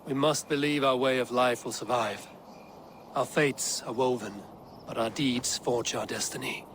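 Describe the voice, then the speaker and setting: A man's voice: mid-range voice, steady tone, a bit bright, natural voice. Man as a resolute Viking warrior, outdoor noise behind. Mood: grave, resolute. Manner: measured, archaic formal phrasing. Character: hardened male Viking warrior leader, resolute and measured. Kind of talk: video game dramatic acting.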